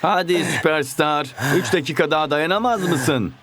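An actor playing a plucky, teasing young football hero in a Turkish cartoon dub. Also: male voice, a little high, moderate pace, fairly bright tone.

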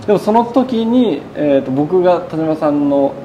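A man's voice: fairly high pitch, animated, normal speed, mellow, dull audio, a little hiss or hum. A man in his thirties talking casually as an interview guest, telling his story in long run-on sentences. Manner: chatty, recounting in long run-on sentences with quoted speech. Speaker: burger shop owner being interviewed. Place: indoors in a small burger shop. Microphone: lapel mic.

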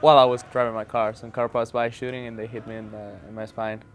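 A man's voice: medium pitch, level tone, quick pace, clean tone. Young man from Venezuela, earnest. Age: young adult. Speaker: wheelchair basketball player.

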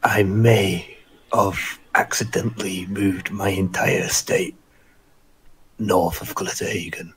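A man playing a tabletop game, talking in a hushed, whispery way. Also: deep voice, fairly even intonation, slow, breathy edge. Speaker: player. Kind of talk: in-character tabletop roleplay.

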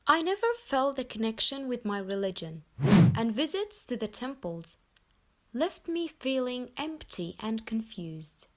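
A young woman from Nepal reading her personal story aloud in an earnest, measured way. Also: fairly high pitch, big pitch swings. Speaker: convert telling her story. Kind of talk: scripted personal narration.

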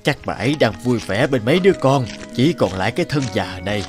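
An actor playing a gentle, sorrowful elderly father in a cartoon, with a halting, plaintive delivery. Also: medium pitch, very animated, soft background music, close to an everyday voice. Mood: gentle concern. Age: older. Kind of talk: cartoon voice acting.

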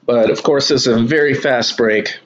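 A man's voice: mid-range voice, normal speed, highs cut off, a little hiss or hum. A man hosting a live sports card break, talking casually to his viewers as he opens boxes at a table indoors. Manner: relaxed, chatty, addresses viewers as y'all. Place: indoor room at a kitchen table.

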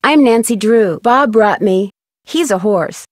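A young American woman playing a curious, polite amateur detective in an adventure game, friendly and inquisitive with clear enunciation. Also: medium pitch, animated, unhurried, dry recording, studio quality. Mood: friendly, upbeat. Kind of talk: scripted adventure game dialogue.

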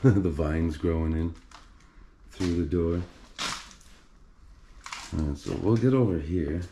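A man filming himself as he explores a flooded basement in an abandoned house, talking in a low, hushed voice while crouching and climbing over clutter. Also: takes it slowly, slight background noise. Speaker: urban explorer filming a tour. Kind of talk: hushed exploration vlog narration.

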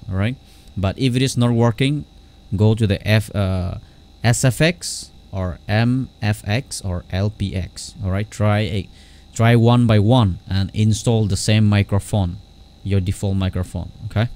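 A man giving an unscripted software tutorial in a halting, repetitive way. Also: on the low side, fairly even intonation. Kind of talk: unscripted screen-recorded tutorial.

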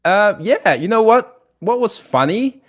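A man's voice: high voice, sing-song, unhurried. A middle-aged man chatting as a guest over a video call, joking and chuckling. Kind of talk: casual video call chat.

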